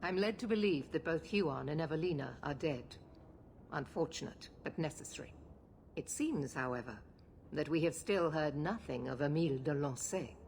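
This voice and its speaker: A woman's voice: deep voice, normal speed, darkish tone. An actress playing a cold, formal, unyielding middle-aged woman commander in a video game. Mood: cold, suspicious.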